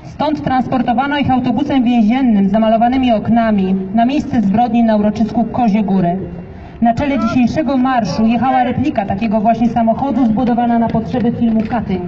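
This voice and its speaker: A narrator giving formal, steady commemorative narration at an outdoor memorial ceremony. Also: mid-range voice. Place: outdoor street march in a city. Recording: distant PA in open air, reverberant, dull, noisy.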